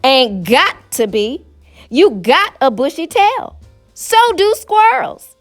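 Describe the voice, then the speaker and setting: A woman's voice: high-pitched, sing-song, slow pace, heavily acted. A woman voicing a sassy, unimpressed little girl with a rural Southern US accent in a storybook, matter-of-fact, over music. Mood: defiant. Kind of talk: storybook character voice.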